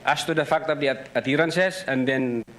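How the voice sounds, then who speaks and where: A man with a Filipino accent giving formal testimony as an investigator, halting, deferential and careful to avoid direct answers. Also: mid-range voice, fairly bright tone. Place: hearing room. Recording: noisy hearing-room ambience.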